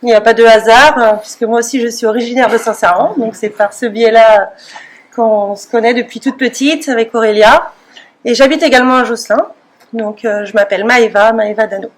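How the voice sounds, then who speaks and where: Higher-voiced woman introducing herself. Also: steady tone, brisk. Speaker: charity race participant.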